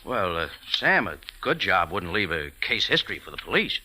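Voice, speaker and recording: An actor playing a wry, hardboiled American café owner in a 1940s radio drama, speaking laconically. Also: male voice, low-pitched, big pitch swings, normal speed, background noise. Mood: explaining. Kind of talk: 1940s radio drama acting with first-person narration. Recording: old radio recording with organ music.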